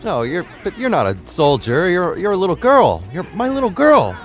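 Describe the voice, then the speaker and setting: Stammering, very animated protective father. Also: high-pitched, moderate pace, soft background music, heavily acted. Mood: disbelieving. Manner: stammering, agitated. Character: shocked, protective middle-aged father. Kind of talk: audio drama acting.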